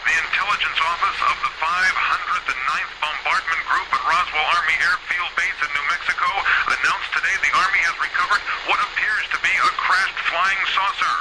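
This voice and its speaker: A man's voice: fairly high pitch, steady tone, fairly quick, bright, forceful tone, breathy edge, dry recording. A man reading a news bulletin in an old-time radio style. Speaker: radio newscaster. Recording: very noisy crackling old radio recording.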